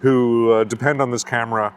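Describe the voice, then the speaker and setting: A man's voice: medium pitch, brisk, clear, resonant tone. American man explaining a camera product. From United States. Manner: steady, explanatory, with frequent "uh" fillers. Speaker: company founder and CEO. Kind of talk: technical product interview.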